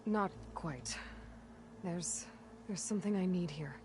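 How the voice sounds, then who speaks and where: A woman's voice: lowish voice, takes it slowly, darkish tone, slight background noise. A woman playing a guarded, direct young warrior in a video game, speaking in a reserved, natural way. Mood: guarded, curious.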